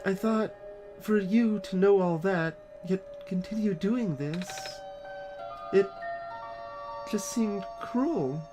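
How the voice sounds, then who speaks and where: Woman to play selfless young game hero; soft-hearted, halting, earnest, unhurried speech with music underneath. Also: mid-range voice, close to an everyday voice. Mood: apologetic, sympathetic. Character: gentle, selfless lost child on a world-saving quest. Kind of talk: voiced video game dialogue reading.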